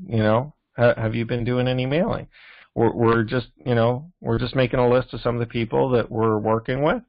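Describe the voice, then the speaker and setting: A muffled, low-fidelity recording with a dry sound carries a man's mid-pitched voice. He talks like a team trainer on a coaching call, informal and instructive. He often stops to check that listeners are following. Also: no room sound. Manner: instructive, repeatedly checking in with "okay?". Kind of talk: informal sales training talk. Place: live team conference call.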